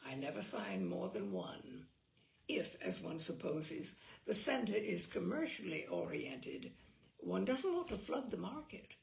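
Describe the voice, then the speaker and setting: A woman host reading a humorous literary essay aloud with theatrical, deliberate, carefully phrased delivery. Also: lowish voice, sing-song, creaky, a little mellow. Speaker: host and reader. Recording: roomy echo, distant microphone.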